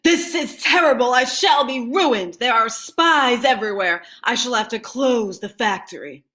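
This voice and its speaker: A woman voicing a distraught, theatrical male factory owner in a storybook, wailing loudly and melodramatically. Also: fairly high pitch, unhurried, pitched above the performer's own voice. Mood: despairing.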